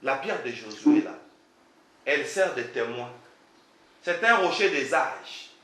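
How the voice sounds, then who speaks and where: A man's voice: medium pitch, lively intonation, slow pace, bright, forceful tone, reverberant, poor audio. A man preaching a sermon as pastor, emphatic and full of rhetorical questions; French is not his first language.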